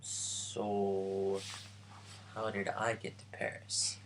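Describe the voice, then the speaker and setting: A young man tells how his journey went, slowly and haltingly, pausing often between phrases. His voice sits low and he never hurries. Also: monotone, slight background noise, poor audio. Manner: slow, halting recounting with many pauses. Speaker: vlogger. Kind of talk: casual travel vlog chat.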